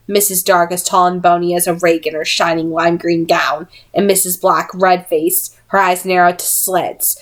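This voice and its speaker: A woman reading fiction aloud as an amateur audiobook narrator, in an expressive storytelling style. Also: fairly low pitch.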